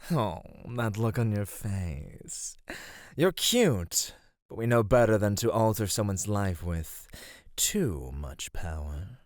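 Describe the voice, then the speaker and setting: A man's voice: on the low side, animated, slow, no room sound, clean studio sound. A man voicing a flirty, vain male god of divine radiance in an ASMR roleplay, speaking in a teasing, affectionate way. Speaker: voice actor.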